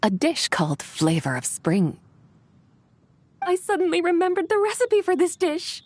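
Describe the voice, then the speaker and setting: A woman voicing an ancient, graceful female immortal in a game, speaking in a soft, poetic, formal and playfully enigmatic way. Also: extremely high pitch, huge pitch swings, moderate pace, dry recording, exaggerated voice. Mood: reminiscing. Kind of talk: video game voice acting. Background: background music.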